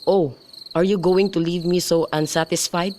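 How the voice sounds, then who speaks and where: A man's voice: sits high, fairly even intonation, normal speed, darkish tone, lightly acted. Man as reckless, lovestruck young nobleman, amateur radio Shakespeare, slightly noisy homemade recording with night insects. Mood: yearning. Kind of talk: student radio drama acting of modernized Shakespeare. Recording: noisy home recording, crickets behind.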